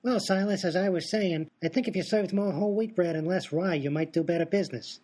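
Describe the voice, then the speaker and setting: An actor playing a pushy, opinionated sandwich-shop helper in a comedic radio play. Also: male voice, sits high, fairly quick, darkish tone. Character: meddling chatty male sandwich-shop helper.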